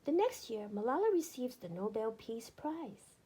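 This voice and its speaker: Husky, high-pitched woman reading a children's book aloud. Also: very animated, moderate pace, mellow. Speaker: read-aloud narrator. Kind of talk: scripted children's book narration.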